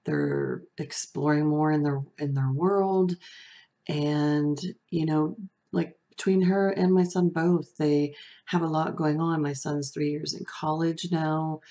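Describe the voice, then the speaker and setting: Soft-voiced, low, mellow woman. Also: slow, highs cut off. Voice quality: soft, comforting voice. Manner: reflective, hesitant, with fillers and restarts. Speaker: beauty vlogger. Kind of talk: casual talk to camera. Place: small room at home, indoors.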